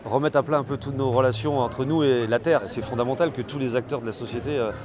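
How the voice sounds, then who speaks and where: A man gives a halting vox pop answer in a mid-pitched voice. A crowded street is clearly heard behind him. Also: steady tone, crowd noise.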